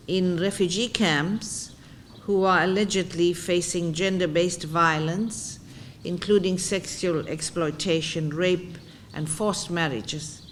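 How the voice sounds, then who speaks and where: A woman delivering a formal prepared speech at a conference in a measured way. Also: lowish voice, slight background noise. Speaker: speaker at a conference. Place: conference room.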